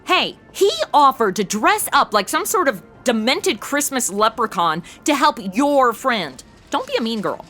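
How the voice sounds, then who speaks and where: Woman playing snarky modern gal sidekick, highish bright voice, blunt, super animated. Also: a little slow, hardly any pauses, soft background music, heavily acted. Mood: sardonic. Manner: sarcastic, casual, blunt. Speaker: show's lead and host. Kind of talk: comedy audio drama acting.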